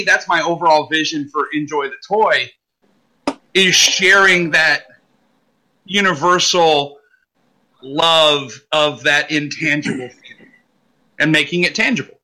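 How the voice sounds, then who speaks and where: Man in his 40s, high and very bright voice, earnest podcast guest musing on toys. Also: normal speed, rough recording. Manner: reflective, earnest. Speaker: podcast guest, toy show creator. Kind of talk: casual podcast chat.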